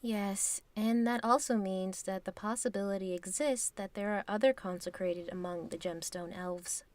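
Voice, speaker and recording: A woman playing a composed, knowing divine being in an audio drama, with frank, measured and formal phrasing. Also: mid-range voice, natural voice. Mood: explaining.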